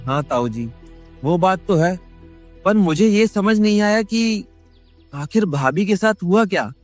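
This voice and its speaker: A frightened young man in a cartoon horror story, hesitant and full of questions, voiced by a man. He speaks high and slowly, with faint music underneath. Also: animated, dry recording. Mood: shaken. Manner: hesitant, stammering, asks questions. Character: young family man, shaken and bewildered. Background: faint background music.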